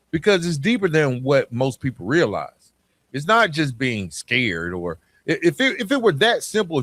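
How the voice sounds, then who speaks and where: A man's voice: a little high, a bit bright, dry recording. A man hosting a podcast, talking conversationally and motivationally in a reflective, emphatic way, often checking in with the listener. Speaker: podcast host.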